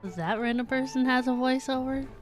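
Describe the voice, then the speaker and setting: A woman's voice: high voice, normal speed, soft background music. Chatty woman streaming a game. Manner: chatty, reads game text aloud. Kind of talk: casual gameplay commentary.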